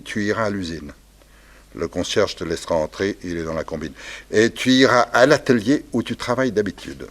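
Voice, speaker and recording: Low-voiced, commanding gang boss. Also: male voice. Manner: authoritative, ironic, deliberate. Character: cold, commanding male gang boss, slyly menacing. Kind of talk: 1960s French radio crime serial acting.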